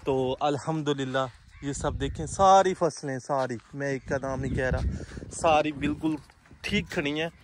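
A man's voice: on the high side, moderate pace, slight background noise. A man in his thirties with a Punjabi accent, filming a vlog on a phone at arm's length while walking by the fields and explaining as he goes. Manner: relaxed explaining while walking, hand gestures. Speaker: vlogger. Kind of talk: casual vlog commentary. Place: outdoors by wheat fields. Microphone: phone held at arm's length.

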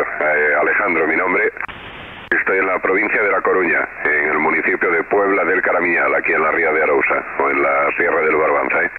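A man with a Spain Spanish accent, casually giving audio reports as an amateur radio operator. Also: deep voice. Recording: narrow tinny band, clear background noise.

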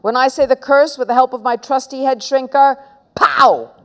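A woman's voice: sits high, steady tone, normal speed, fairly bright tone, voice pushed up. A woman voicing a mischievous, boastful young boy from a children's storybook in a theatrical, taunting way.

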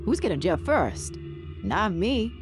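A woman's voice: mid-range voice, big pitch swings, slow pace. A woman's voice playing a practical, wry young zombie apocalypse survivor in video game lines. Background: music.

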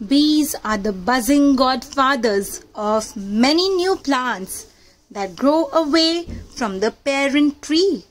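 A woman's voice: sits high, animated, slow pace, poor audio. A woman narrating a children's read-aloud book in a teacherly manner, addressing young listeners directly.

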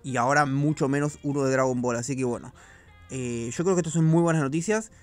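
A man's voice: mid-range voice, a little fast, faint music behind. A man giving casual, slangy commentary on video game news, with the odd swear word. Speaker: gaming YouTuber.

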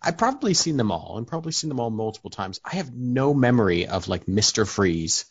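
A man's voice: medium pitch, big pitch swings, brisk, husky, dry recording, highs cut off. A man co-hosting a podcast, chatting casually and rambling with many fillers. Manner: rambling, hesitant, lots of fillers. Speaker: podcast co-host. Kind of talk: casual chat.

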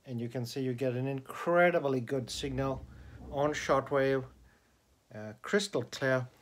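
A man giving a casual, deliberate hands-on explanation as a radio hobbyist, recorded indoors on a handheld camera. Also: mid-range voice, steady tone. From Australia. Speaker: hobbyist radio enthusiast demonstrating a homemade antenna. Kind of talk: casual video demonstration. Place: indoors in a bedroom. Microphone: handheld camera, held by the speaker.

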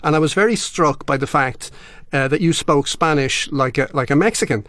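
A man from Ireland hosts an interview, asking questions in an easy, conversational way and stumbling over the first words now and then. His voice sits a little high for a man. It is recorded dry, with no room echo. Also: normal speed, a bit bright. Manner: repeats words as he starts questions. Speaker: podcast host, interviewer.

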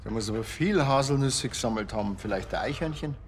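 Man playing a deadpan Bavarian village cop. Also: medium pitch, fast talker, a little hiss or hum, close to an everyday voice. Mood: investigative, deadpan. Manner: deadpan, colloquial. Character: blunt, dry, cocky male village policeman. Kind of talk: TV crime comedy acting.

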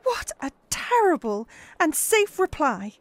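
A woman playing a dignified, sorrowful young Victorian woman, speaking in a measured, earnest way. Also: high-pitched, sing-song, heavily acted. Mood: sad, resolute.

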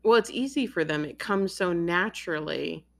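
Woman host, lowish voice, gets really into explaining stuff. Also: normal speed, vocal fry, fairly bright tone. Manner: enthusiastic, talks in long explaining runs. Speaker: interviewer, host. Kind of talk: casual interview chat.

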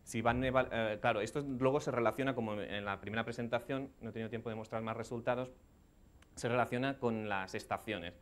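A man's voice: medium pitch, steady tone, a little fast. A man in his thirties speaking at a conference lectern, answering audience questions in a technical way and often restarting his phrases. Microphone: headset mic.

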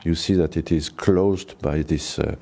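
A man in his sixties with a French accent, a cave owner giving a guided tour to camera, talking slowly and haltingly with many fillers. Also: extremely low pitch, fairly even intonation, gravelly, warm tone. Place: forest outdoors, then inside a small cave.

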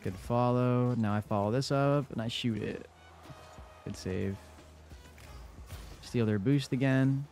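A man's voice: mid-range voice, slow, long pauses, darkish tone, faint music behind. A young man with a congested voice giving casual commentary over his own gameplay in a laid-back play-by-play. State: congested from a cold. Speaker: gaming YouTuber.

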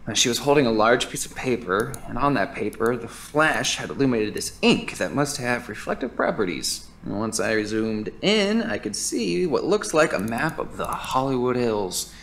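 A man's voice: mid-range voice, creaky, fairly bright tone, slight background noise. An actor playing a flustered, nerdy young male editor in an audio drama. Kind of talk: audio drama, spoken recorded monologue.

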